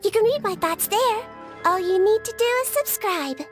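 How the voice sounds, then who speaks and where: A high, girlish-pitched voice, a small and kindly expert in a video game. She sounds composed, measured and matter-of-fact, with music clearly behind her. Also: animated. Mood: composed, reassuring.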